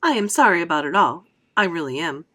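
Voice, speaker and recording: A woman's voice: on the low side, expressive, brisk, sharp tone. A woman reading aloud, voicing an eccentric male candy maker who sighs and speaks in a rueful, matter-of-fact way.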